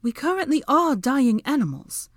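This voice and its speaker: Woman as young witch, sharp-tongued, exasperated, raised pitch, cutting sarcasm, audiobook dialogue. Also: big pitch swings, fairly quick, dry recording, clean studio sound. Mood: dry, exasperated. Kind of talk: dramatic audiobook character dialogue.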